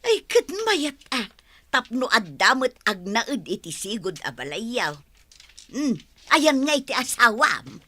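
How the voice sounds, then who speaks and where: An excitable, hospitable wife in an Ilocano radio comedy. Her voice is fairly high and forceful, drawn out slowly with exclamations and an Ilocano accent. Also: dry recording, full character voice. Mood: delighted, welcoming. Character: excitable, hospitable wife greeting an old best friend.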